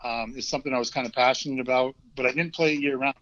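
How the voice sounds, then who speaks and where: A man in his fifties with a Vermont accent, chatting easily as a podcast guest and often pausing with ums and restarts. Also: medium pitch. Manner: relaxed, hesitant, many ums and restarts. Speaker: guest, former hockey player. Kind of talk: casual interview chat. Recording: dull lo-fi audio.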